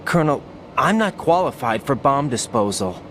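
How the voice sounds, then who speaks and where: An actor playing a young rookie soldier in a video game, earnest, questioning and unsure of himself. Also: male voice, medium pitch, big pitch swings, moderate pace, fairly bright tone, slight background noise. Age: young adult.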